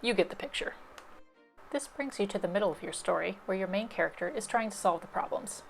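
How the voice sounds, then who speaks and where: A woman's voice: mid-range voice, expressive, fairly quick, creaky, soft background music. A woman library presenter giving scripted instructional narration, explaining things step by step.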